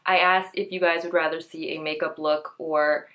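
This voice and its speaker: A woman's voice: low-pitched, little pitch movement, moderate pace, sharp tone. A young woman vlogging about beauty products, talking casually to camera and sounding nasal from a cold, with lots of "like" and "um". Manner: chatty, peppered with "like" and "um".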